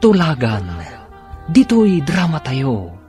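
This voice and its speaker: Animated male radio drama announcer over music. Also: fairly high pitch, slow. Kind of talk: dramatic scripted narration in Ilocano serial. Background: faint music.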